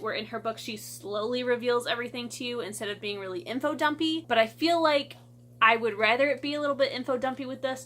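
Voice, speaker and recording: A woman's voice: on the high side, bright, forceful tone. A young woman from Michigan chatting casually in a book vlog, a little stuffy from her sinuses, with lots of "like" and "I feel like". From Michigan, USA. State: stuffy, congested. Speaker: book vlogger.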